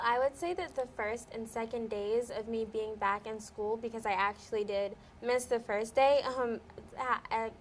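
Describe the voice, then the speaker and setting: Teenage girl, interview guest, higher voice, polite, giggly, faint background hiss. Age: teens. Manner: polite, giggly, answers with "yes, sir". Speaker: interview guest, high school senior. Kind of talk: casual interview answers.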